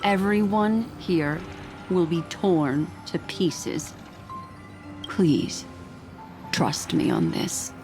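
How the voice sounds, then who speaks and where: An actress playing a composed, mysterious keeper of memories in a video game, with a poised, measured, knowing delivery. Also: female voice, lowish voice, music in the background. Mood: grave, assured.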